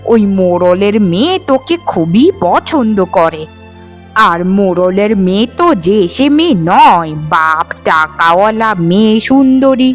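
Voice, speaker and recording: A woman playing a middle-aged, bossy village mother, coaxing and insistent, in a fairytale cartoon. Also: mid-range voice. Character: bossy village mother pushing her son to marry. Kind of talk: Bengali fairytale cartoon dialogue.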